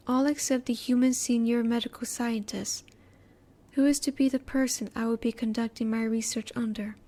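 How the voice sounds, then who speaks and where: Soft, formal alien scientist voiced by a woman. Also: on the high side, steady tone, close to an everyday voice. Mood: apologetic, formal. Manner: formal, measured, precise wording with halting pauses. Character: curious, reserved female alien scientist, polite and logical. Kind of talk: scripted roleplay audio, spoken intimately to the listener.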